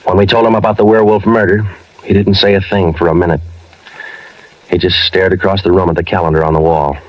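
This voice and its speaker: An actor playing a stoic American police sergeant, narrating in a clipped, deadpan 1950s radio drama style. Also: male voice, sits low, fast, close to an everyday voice. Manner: clipped, deadpan, matter-of-fact. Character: terse, stoic male police sergeant narrating his case. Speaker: detective and narrator. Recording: muffled vintage radio drama recording.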